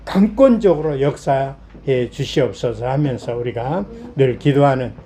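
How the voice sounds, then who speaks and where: A middle-aged man from South Korea preaching a Sunday sermon as a pastor, explaining point by point in a voice slightly hoarse from a cold. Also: medium pitch, measured pace, dark tone, a little hiss or hum.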